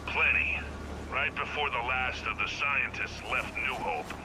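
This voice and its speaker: A gruff older male commander in an action game, talking over radio with clear noise. He is terse and matter-of-fact, at an average pitch. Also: measured pace. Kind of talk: video game voice acting. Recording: noisy radio transmission.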